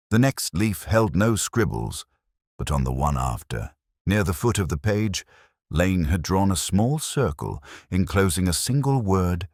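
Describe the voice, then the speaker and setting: Brooding detective narrator played by a man for mystery audio drama: very low voice, solemn and measured, expressive range, dry studio sound. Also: no room sound, full character voice. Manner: measured, solemn, literary phrasing. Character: brooding, contemplative male police detective recounting a murder case.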